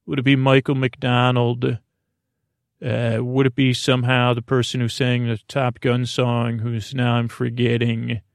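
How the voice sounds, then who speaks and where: Creaky-voiced man rambling through a bedtime monologue. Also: medium pitch, monotone, dry recording, clean studio sound. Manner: meandering, halting and repetitive, drifting between tangents. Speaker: host of a bedtime sleep podcast. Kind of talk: rambling, whimsical sleep monologue.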